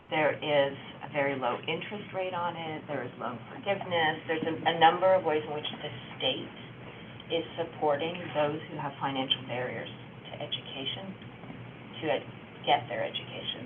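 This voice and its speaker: Woman on a noisy, phone-like line. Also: deep voice. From Canada. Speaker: government official on a panel. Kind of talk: roundtable remarks. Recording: muffled phone-like line, loud noise.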